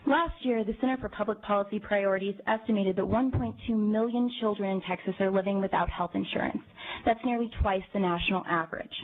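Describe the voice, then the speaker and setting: A young woman gives formal testimony in a composed, articulate voice of middle pitch. Her words are measured and carefully phrased. The audio is narrow and tinny, like a phone line. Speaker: citizen testifying at a hearing. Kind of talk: prepared public testimony. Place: legislative committee hearing room.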